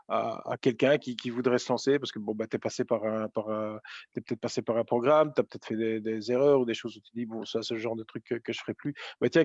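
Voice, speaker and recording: A man's voice: mid-range voice, darkish tone, dry recording. A man hosting a casual interview, friendly and stumbling over his words. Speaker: interviewer host. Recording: dull lo-fi dry sound.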